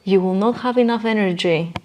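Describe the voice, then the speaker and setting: A woman talks as the guest in a podcast conversation. She explains things earnestly and with emphasis, often rounding off a point with a short tag question. Her pitch sits in an average range for a woman. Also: fairly quick, a little mellow. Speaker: interview guest, coach.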